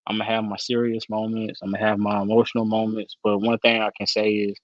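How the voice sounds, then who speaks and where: A man in his early thirties speaks as a guest on an interview show over a video call. He talks in an animated, slangy way full of fillers and restarts. The call audio is dull and slightly lo-fi, and it has a dry, close sound. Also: on the low side, fairly quick, dry recording. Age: 30s. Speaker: guest, football analyst. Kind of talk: casual interview chat.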